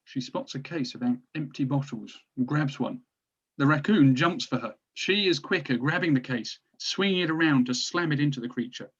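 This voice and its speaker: A man's voice: mid-range voice, moderate pace, slightly rough. A man narrating stage directions from a drama script, recorded on dull, lo-fi audio.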